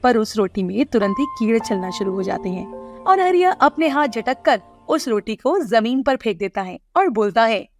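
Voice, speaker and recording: A woman giving scripted narration of an animated moral story. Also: on the high side, normal speed, soft background music.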